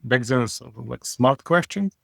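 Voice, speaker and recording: A man's voice: mid-range voice, animated, gravelly, fairly bright tone, dry recording, studio quality. Wry man with English. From Israel. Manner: frank, wry, halting non-native phrasing. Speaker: company CEO guest. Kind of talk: casual interview talk.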